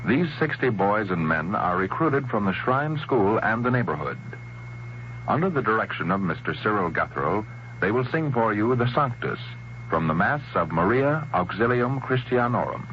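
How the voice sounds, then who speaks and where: Muffled 1930s radio announcer, expressive man. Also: lowish voice. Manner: formal, measured. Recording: muffled, noisy 1930s radio recording with hum.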